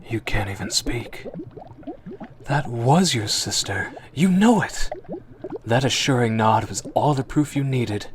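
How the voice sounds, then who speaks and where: A man narrating a scripted story in the second person, in an expressive, suspenseful old-time radio drama style. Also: mid-range voice, a little slow, background noise. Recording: narration over prominent background music.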